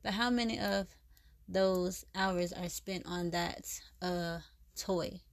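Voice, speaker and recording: A woman's voice: fairly low pitch, fairly even intonation, takes it slowly, no room sound, studio quality. A woman reading as a stern old piano teacher. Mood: disapproving. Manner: prim and disapproving.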